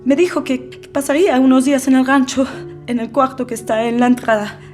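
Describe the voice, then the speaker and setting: A woman's voice: high voice, fairly even intonation, fairly quick, faint music behind, lightly acted. An actress playing a frightened, defensive woman under interrogation in an audio docudrama, hesitant and stammering. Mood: anxious, recounting. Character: frightened young Frenchwoman under police interrogation, pleading, defensive.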